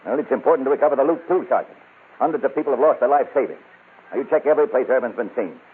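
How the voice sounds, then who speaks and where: An actor playing a brisk, stern police captain in an old crime radio drama. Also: male voice, mid-range voice, breathy edge. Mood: urgent, stern. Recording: tinny narrow-band old radio recording.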